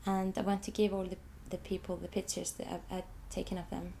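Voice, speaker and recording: A woman's voice: mid-range voice, flat delivery, a little fast, creaky, dark tone, a little hiss or hum. An Icelandic woman who speaks English as a second language. She is an artist talking hesitantly about her photographs, often repeating words and restarting sentences. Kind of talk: interview, describing her photographs.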